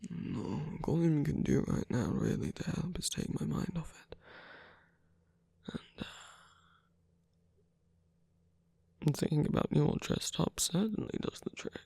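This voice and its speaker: A performer in an intimate ASMR roleplay, playing a proud, flirtatious villain in pain who speaks softly and haltingly, guarded yet tender, in a breathy, gravelly voice. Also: medium pitch, very animated, no room sound, heavily acted. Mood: teasing, flirtatious. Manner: halting, hesitant, murmured.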